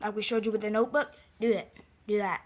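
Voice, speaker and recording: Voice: mid-range voice, fairly even intonation, fast talker. A video creator giving a casual how-to craft tutorial, rambling, correcting themselves and repeating points for emphasis. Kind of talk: casual DIY how-to vlog talk.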